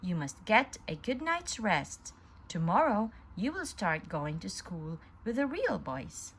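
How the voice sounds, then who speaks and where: A fatherly old wood carver from a storybook, acted out by a woman. He scolds at an unhurried pace with expressive swings in pitch. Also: husky, heavily acted. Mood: fatherly, stern.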